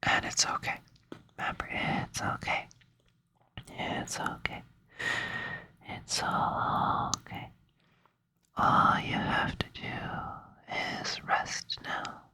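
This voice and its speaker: A person rambling in a breathy whisper close to the microphone for ASMR, sounding sleepy and hesitant, with a playful, soothing way of talking. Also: drawn-out words, long pauses, bright, forceful tone, no echo. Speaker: ASMR creator.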